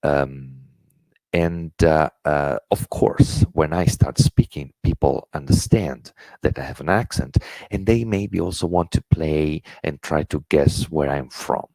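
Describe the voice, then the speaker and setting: A man's voice: a bass voice, a little slow, creaky, mellow, no room sound. A middle-aged man from Italy with an Italian accent, giving an earnest webinar lecture with many fillers and restarts. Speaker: intercultural education presenter.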